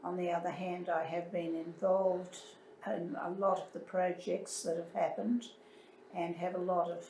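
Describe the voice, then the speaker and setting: In a roomy recording with faint ambient noise, a woman reflects in an interview. She is measured and considered. There is noticeable creak in her voice. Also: on the low side, darkish tone. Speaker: interviewee, club associate.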